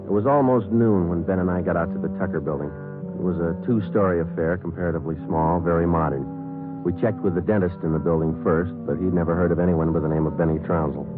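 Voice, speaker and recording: A man playing a deadpan, businesslike police sergeant with clipped delivery in an old-time radio drama. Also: low-pitched, fast, over music, close to an everyday voice. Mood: matter-of-fact. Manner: deadpan, clipped, matter-of-fact. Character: terse, businesslike male police detective sergeant. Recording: muffled narrowband old radio recording.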